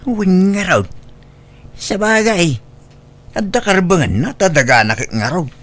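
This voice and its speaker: High-voiced, deferential Ilocano village man, acted. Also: expressive, a little slow, a bit bright. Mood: chatty. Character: deferential, appeasing village man, mild-mannered. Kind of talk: Ilocano comedy radio-style drama acting.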